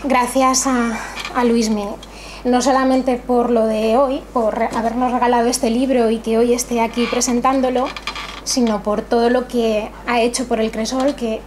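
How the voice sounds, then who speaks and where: A woman's voice: a little high, flat delivery, moderate pace, darkish tone. A woman, the café's host, thanking her audience in a grateful, conversational and slightly nervous way. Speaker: café owner and event host. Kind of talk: warm welcome speech at literary event. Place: small cultural café with audience. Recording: noisy room ambience.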